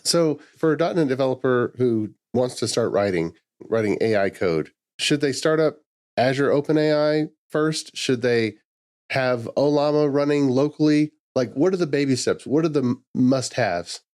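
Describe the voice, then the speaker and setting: A male podcast host with an average-pitched voice. He talks casually, building long questions with asides. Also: moderate pace, a little mellow. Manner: conversational, asks long multi-part questions.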